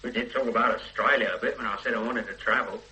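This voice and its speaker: A blunt, hard ex-soldier son. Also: male voice, medium pitch, steady tone, fast talker, bright, forceful tone, rough recording. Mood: offhand. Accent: Northern English. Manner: blunt, offhand, dismissive. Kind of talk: 1970s radio drama acting.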